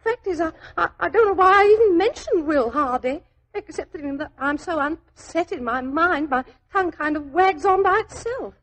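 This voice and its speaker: A woman's voice: extremely high pitch, lively intonation, normal speed, a bit bright, rough recording. An actress playing a chatty, naive young working-class woman in a 1940s radio drama, with a London accent and a flustered, rambling, deferential manner. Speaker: actor in a radio detective drama.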